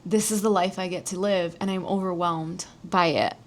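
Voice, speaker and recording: A woman's voice: lowish voice, fairly even intonation, normal speed. An American woman from New Jersey telling a personal story as a solo podcast host, in a chatty, candid, casual way with some swearing. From New Jersey, United States.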